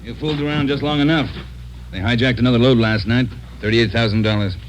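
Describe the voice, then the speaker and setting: A man acting as a gruff chief of detectives in a vintage radio drama. He talks fast at a middling pitch, brusque and demanding, over faint recording noise. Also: steady tone, close to an everyday voice. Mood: impatient. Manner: brusque, barking orders.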